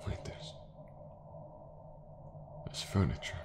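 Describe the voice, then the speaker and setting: A man playing a gruff, skeptical, blunt detective in an audio roleplay. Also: slow pace, noisy background, lightly acted. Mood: sick, bewildered. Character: gruff, skeptical, foul-mouthed male detective.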